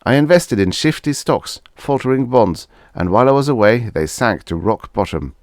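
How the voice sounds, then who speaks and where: A man's voice: mid-range voice, animated, moderate pace, darkish tone, no echo, clean studio sound, pitched above the performer's own voice, natural voice. A man voicing a desperate, cynical young widower in a science fiction audiobook, insistent and pleading. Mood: eager, nervous.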